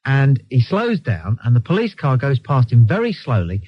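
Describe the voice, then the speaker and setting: A man's voice: mid-range voice, huge pitch swings, normal speed, slightly rough. An English man hosting a live radio phone-in, bantering and telling anecdotes in a cheeky, teasing, sardonic way. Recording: dull lo-fi radio broadcast recording.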